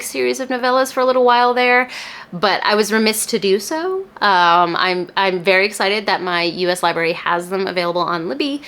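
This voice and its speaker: A woman talking casually to camera as a book reviewer, in an animated, emphatic, chatty way. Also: medium pitch. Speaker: book reviewer and video host.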